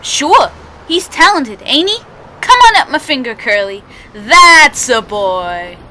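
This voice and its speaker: Woman playing a high, bright, stubborn street boy. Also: very animated, takes it slowly, full character voice. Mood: proud. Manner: slangy, artless kid talk. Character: stubborn, proud young street boy with a pet caterpillar. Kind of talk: old-time radio drama acting. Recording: noticeable background noise.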